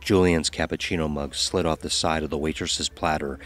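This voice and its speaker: A man narrating a scripted dark audio story. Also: extremely low pitch, fairly even intonation, normal speed, music in the background.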